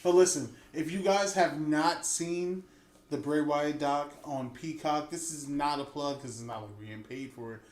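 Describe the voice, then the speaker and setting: A man with a fairly high voice chats loosely on a casual podcast. He keeps a relaxed, jokey tone. His voice echoes in the room, with faint background noise. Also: normal speed. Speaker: podcast co-host.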